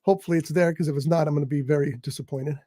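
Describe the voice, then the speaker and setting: A man hosting a casual showbiz talk show, rambling enthusiastically about a movie, his voice croaky today. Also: a little high, steady tone, racing, warm tone, no room sound, clean studio sound. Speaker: show host. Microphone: studio mic on a live stream.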